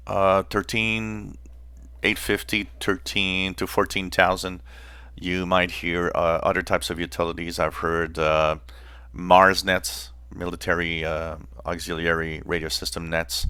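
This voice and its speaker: A man hosting a hobby channel, explaining informally in a halting way with pauses mid-phrase. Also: deep voice, little pitch movement, pushed and bright, smooth, no room sound. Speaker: host of a shortwave radio channel.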